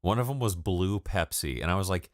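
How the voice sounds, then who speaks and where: Deep-voiced profane young man chatting. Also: expressive, a little fast, dry recording, clean studio sound. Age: 20s. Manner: rambling, profane, laughs often. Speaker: music producer streaming live. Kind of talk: casual livestream chat with viewers.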